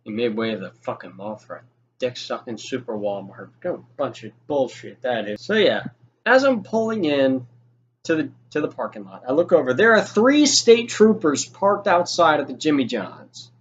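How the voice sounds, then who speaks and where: Young male storyteller, mid-pitched, informal and rambling, sweary, muffled lo-fi sound. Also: big pitch swings, normal speed. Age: young adult. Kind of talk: casual storytime monologue. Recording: dull lo-fi audio with noticeable noise.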